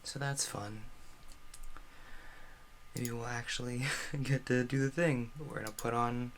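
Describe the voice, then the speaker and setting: A man's voice: medium pitch, steady tone, measured pace, a little hiss or hum. A man streaming a game, giving offhand running commentary in casual chat. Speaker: streamer hosting a Minecraft stream.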